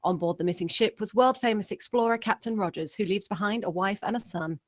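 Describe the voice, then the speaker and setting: A woman acting a serious, formal television news anchor with a deadpan delivery in a comedy table read. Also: medium pitch, a little fast, no echo, clean studio sound, natural voice.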